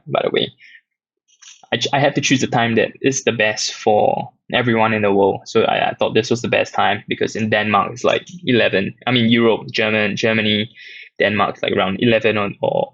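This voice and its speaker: Gravelly Singaporean man, lo-fi. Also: on the low side, no echo. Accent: Singaporean English. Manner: relaxed, rambling, reads questions aloud with many fillers. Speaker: app community host answering questions. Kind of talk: casual livestream Q&A. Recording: dull lo-fi dry audio.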